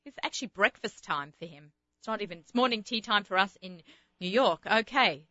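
A woman's voice: medium pitch, lively intonation, a little fast, bright, forceful tone, no room sound, muffled recording. A woman with an Australian accent tinged with American, hosting a radio show in a chatty, rambling way. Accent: Australian, slightly Americanized. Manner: chatty, rambling, many fillers. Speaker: radio host. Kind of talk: casual radio chat.

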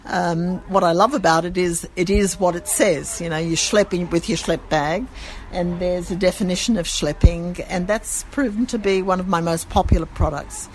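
A woman's voice: fairly low pitch, fairly even intonation, moderate pace, a little hiss or hum, rough recording. Chatty woman shop owner. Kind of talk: casual interview. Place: her gift shop.

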